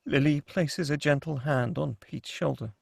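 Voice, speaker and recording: A man with a fairly high, creaky voice narrates a screenplay. He reads scene headings and action as scripted narration, in a dry, close sound. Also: fairly even intonation, moderate pace, no echo. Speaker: narrator reading screenplay directions. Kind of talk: scripted narration at a table read.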